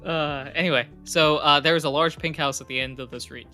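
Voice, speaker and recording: A man's voice: on the high side, fairly even intonation, a little fast, pushed and bright, soft background music. A young man narrating a tabletop game as game master, in a casual style with many fillers.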